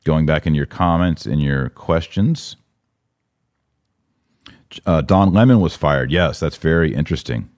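Low-voiced American man, casual podcast host. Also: expressive, unhurried, pauses often, a little mellow, no echo, clean studio sound. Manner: informal slangy talk. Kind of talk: casual live commentary, reading and answering viewer comments.

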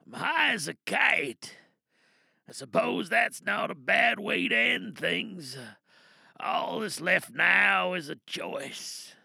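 Man acting a gravelly, dying, bitter rebel. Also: high voice, expressive, sharp tone, no echo, studio quality, pitched above the performer's own voice, full character voice. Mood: pained, resigned. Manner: weary, halting, dramatic delivery with profanity. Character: dying, bitter, angry cyberpunk rebel recording a last message. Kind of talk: audio drama monologue.

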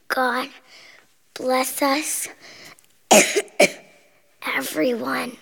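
An actor playing a frail, sweet, sickly little boy in a radio drama, breathy and coughing. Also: sits high, steady tone, drawn-out words, pauses often, fairly bright tone, big-room sound, full character voice. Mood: frail, hopeful. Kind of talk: family radio drama acting.

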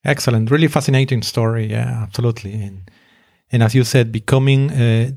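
A man's voice: medium pitch, steady tone, a little fast, gravelly, darkish tone, no room sound, studio quality. A man hosting an interview, answering with short words of encouragement and asking questions at an easy pace. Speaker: interview host. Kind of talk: casual interview talk.

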